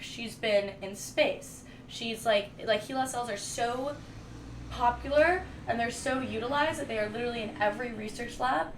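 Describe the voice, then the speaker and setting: Young woman vlogger, rambling casual chat, slightly high voice, faint background noise. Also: moderate pace. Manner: rambling, lots of "like", informal slang. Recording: echoey room, mic at a distance, faint noise.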